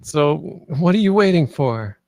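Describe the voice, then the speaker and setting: A man's voice: a little high, expressive, unhurried, mellow, no echo. A man playing an earnest, loyal kid hero in a screenplay table read. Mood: heartfelt.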